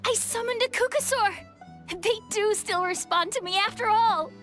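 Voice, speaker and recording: A woman voicing an earnest, excitable young girl in a video game, youthful and expressive. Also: very high voice, moderate pace, faint music behind. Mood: eager. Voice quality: youthful, girlish. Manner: eager, expressive. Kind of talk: video game character acting.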